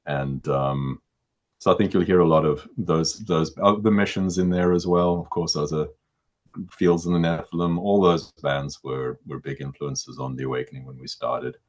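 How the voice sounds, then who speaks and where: Man, middle-aged, extremely low baritone, casual interview answers, repeats words, halting. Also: steady tone, a little mellow. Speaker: musician interviewee.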